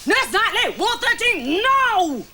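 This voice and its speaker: An actress playing a domineering, strict older hospital matron in a melodramatic comedy. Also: female voice, sits high, lively intonation, moderate pace, background noise. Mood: stern, outraged. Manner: imperious, strict.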